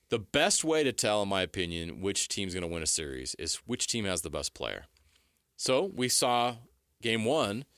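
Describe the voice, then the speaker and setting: An American male sports radio co-host with a slightly low but bright, forceful voice. His pitch moves a lot as he lays out his analysis in emphatic, punchy statements and questions. It sounds dry, like a studio recording. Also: no room sound.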